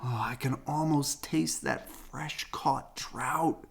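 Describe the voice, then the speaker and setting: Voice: mid-range voice, fairly even intonation, slow, creaky, pitched above the performer's own voice. A reader voicing a curious, outspoken young boy bear cub from a storybook, in an eager boyish manner.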